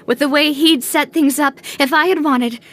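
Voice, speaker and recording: High-voiced, proud young noblewoman. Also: fairly even intonation, moderate pace, a bit bright. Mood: anguished, reflective. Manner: emphatic, emotional, well-spoken. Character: proud, determined young noblewoman, wounded but resolute. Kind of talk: dramatic video game cutscene acting.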